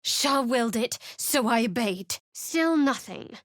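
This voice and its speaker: A woman voicing a guarded, sardonic young cleric of a dark goddess in a fantasy game, speaking in a dry and wry way. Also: a little high, animated, slow, no echo. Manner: dry, wry and cool, with sly sarcasm. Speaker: party companion in a fantasy video game. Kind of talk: recorded game voice lines. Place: studio booth. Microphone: close studio mic.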